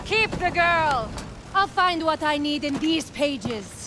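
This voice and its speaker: A slow, imperious villainess. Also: female voice, high-pitched, expressive, background noise. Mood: contemptuous. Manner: formal, measured, haughty. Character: imperious female villain, coolly menacing hunter. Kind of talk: cinematic video game acting.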